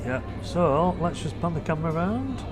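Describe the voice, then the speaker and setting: A man hosting a family vlog talks in a fairly high voice while he walks a theatre with a handheld camera. His chatty tour patter is full of "so" and "uh". Music plays clearly behind him. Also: sing-song, moderate pace. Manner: chatty, loose, with frequent "so" and "uh". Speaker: family vlogger host. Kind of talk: casual walking tour narration. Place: inside a theatre, foyer and private box.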